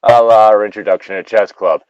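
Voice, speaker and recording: A man's voice: fairly low pitch, steady tone, a little fast, no echo, highs cut off. A man reading a story aloud and breaking off into casual, joking, rambling, profane commentary. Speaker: reader and commentator of online stories.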